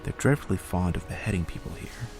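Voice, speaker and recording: A man's voice: low-pitched, expressive, quick pace. A man reading a prim, curious young girl. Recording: soft music underneath.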